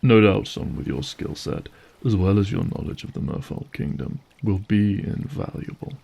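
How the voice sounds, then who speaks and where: A man's voice: low voice, lively intonation, normal speed, vocal fry, warm tone, breathy edge, full character voice. A man playing a courtly, aristocratic monster lord in an audio drama, speaking with formal, gracious courtesy. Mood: smooth, diplomatic.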